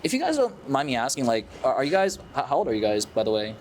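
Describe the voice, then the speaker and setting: A man's voice: mid-range voice, huge pitch swings, quick pace. Chill 30s guy interviewing a band, lots of "like", noise behind him. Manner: laid-back, heavy use of fillers. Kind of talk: casual chat.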